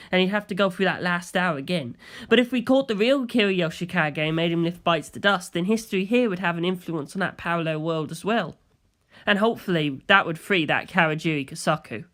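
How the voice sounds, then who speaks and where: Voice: medium pitch, fairly bright tone. A narrator reading a scripted story in the first person.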